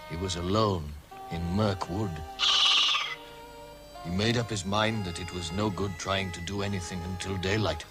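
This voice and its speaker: A man narrating a fantasy tale as a measured storyteller in a radio drama, reading from a script. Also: lowish voice, close to an everyday voice.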